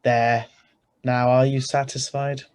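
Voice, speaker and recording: A man acts out a skeptical husband in a radio suspense play, with a mid-pitched voice. He is wry and sardonic, narrating like someone whose patience is wearing thin. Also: little pitch movement, slow, a little mellow, clean tone, close to an everyday voice. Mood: impatient, sardonic. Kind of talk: radio drama script reading. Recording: live video-call recording.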